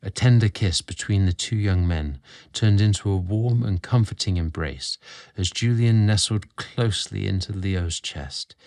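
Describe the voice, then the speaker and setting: A man narrating a scripted romantic story in an earnest, dramatic, deliberate way. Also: deep voice, darkish tone.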